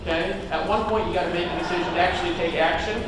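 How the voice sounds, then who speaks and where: High-voiced man, echoey hall from afar. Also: fairly even intonation, fast talker. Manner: rambling, punchy, keeps asking "right?". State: losing his voice. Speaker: motivational speaker. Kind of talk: casual motivational stage talk. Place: large event room on a stage. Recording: echoey hall from far off, noisy.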